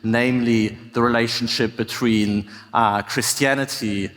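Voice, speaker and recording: Male academic speaker, 30s, German accent with British touches, measured delivery, reverberant hall. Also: medium pitch, steady tone, fairly bright tone. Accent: German with a slight British tinge. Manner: measured, articulate, with frequent "uh" fillers. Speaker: conference speaker. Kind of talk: academic conference lecture. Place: conference hall.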